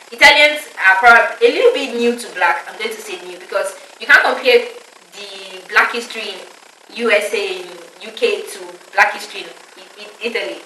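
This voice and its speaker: Young woman from Nigeria, vlog host, chatty and fast, recorded a bit off-mic in a roomy space. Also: medium pitch, bright, forceful tone, slight background noise. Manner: chatty, laughs often, many fillers. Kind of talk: casual chat. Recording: echoey room, mic at a distance.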